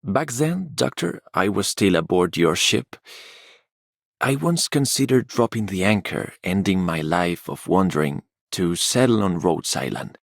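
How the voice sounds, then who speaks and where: Gravelly, wistful seafaring wanderer. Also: male voice, low voice, expressive, a little slow, dry recording, clean studio sound, exaggerated voice. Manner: reflective, sincere. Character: wistful, weathered seafaring wanderer, a grown man. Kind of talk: video game voice line.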